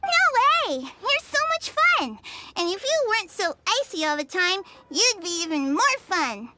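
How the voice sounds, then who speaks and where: A woman voicing a playful, excitable little girl in a video game, with a bubbly, childlike manner. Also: very high-pitched, a little slow, no room sound, full character voice. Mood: excited, playful.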